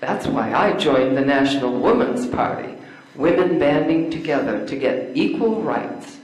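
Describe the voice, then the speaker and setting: A woman's voice: deep voice, expressive, a little slow, a little mellow. An older woman playing an opinionated spinster schoolteacher in an amateur readers theater play, emphatic and matter-of-fact. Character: opinionated spinster schoolteacher, a suffragist, bluntly advising. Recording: echoey room.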